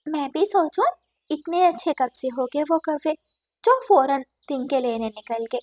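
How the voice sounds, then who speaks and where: Woman as a high-voiced kindly cartoon bird. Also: lively intonation, measured pace, darkish tone, no room sound. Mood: concerned. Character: kind, practical female little bird. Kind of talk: cartoon character acting.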